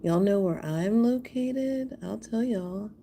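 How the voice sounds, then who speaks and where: American woman, very soft dark tone, gravelly creak, affectionate livestream chat, appreciative, faint room noise. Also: medium pitch, slow. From Houston, Texas, USA. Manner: affectionate, appreciative, addresses viewers directly. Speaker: artist hosting a live art stream. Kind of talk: casual livestream chat with viewers while making art.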